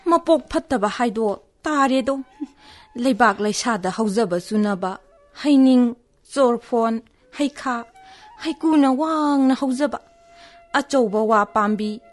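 A woman's voice: a little high, rapid-fire, close to an everyday voice. A woman playing a wistful, self-pitying talking fruit tree in a radio play, with a dramatic, complaining manner. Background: faint music.

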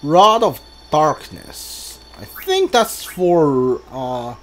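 A man's voice: a little high, big pitch swings, extremely slow, slight background noise. A man giving casual gameplay commentary, muttering his moves aloud as he thinks them through. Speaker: gamer playing through a role-playing game. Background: game music.

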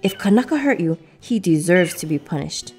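A stern, fair-minded bird of a storybook, played by a woman, questions and scolds firmly. The voice is emphatic and animated, over background music. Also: lowish voice, moderate pace, breathy edge. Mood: questioning.

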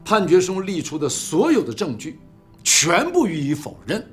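A man's voice: high voice, wildly swooping pitch, moderate pace. A man playing a serious middle-aged official in a film drama, briefing colleagues formally in emphatic, clipped phrases. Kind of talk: scripted case briefing, film acting. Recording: faint music behind.

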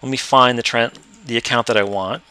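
Bright-voiced man walking through software. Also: mid-range voice, steady tone, brisk, clean tone, no echo. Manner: plain step-by-step explaining. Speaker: software trainer. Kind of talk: screen-recorded software tutorial.

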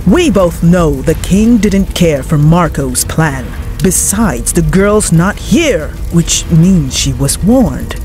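A woman's voice: lowish voice, animated, slow, hardly any pauses, mellow, soft background music. A woman voicing a stern, scornful knight commander with a curt, dismissive manner in a fan dub.

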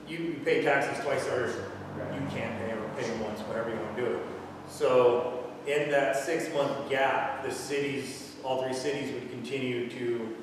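Man explaining plans in an echoey fire station. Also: medium pitch. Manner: plain explanatory, talks through details. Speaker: fire department officer. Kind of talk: answering questions at a public meeting. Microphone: far camera. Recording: echoey hall, distant mic, background noise.

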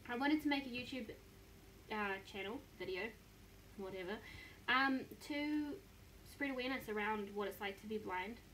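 A woman's voice: on the high side, normal speed, a little hiss or hum, poor audio. Chatty young New Zealand woman vlogging. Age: 20s. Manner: chatty and self-mocking, with bright upbeat sign-offs. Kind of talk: casual vlog to camera. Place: small bedroom, indoors. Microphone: phone camera close to the face.

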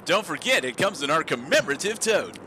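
High-pitched joking man riffing on a film. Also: very animated, fairly quick, bright, forceful tone, slight background noise. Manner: playful, absurd jokes. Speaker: movie riffing commentator. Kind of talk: joking commentary over a film.

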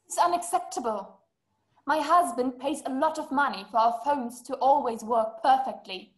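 A woman's voice: sits high, moderate pace, natural voice. A young woman playing an anxious, demanding housebound wife in a stage-style drama, complaining formally into a laptop. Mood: fretful indignant. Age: young adult. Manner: fretful, indignant, formal complaining. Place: small room at home. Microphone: laptop on video call.

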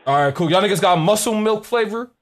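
Brash, higher-pitched young American man, slangy. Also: expressive, fast. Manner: brash, swearing, repeats himself. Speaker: prank caller and streamer. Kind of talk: prank call, slangy confrontational banter. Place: indoor gaming setup.

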